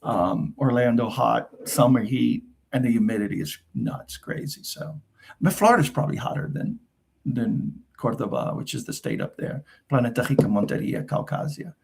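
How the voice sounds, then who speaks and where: A middle-aged man with a New Jersey accent hosts a live Q&A in a gravelly, creaky voice. He rambles and chats, circling back and restarting his sentences. Portuguese is his first language, and his pitch sits at a middle level for a man. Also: very animated, normal speed, slight background noise. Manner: rambling, chatty, frequent fillers and restarts. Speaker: host. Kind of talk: casual live Q&A chat.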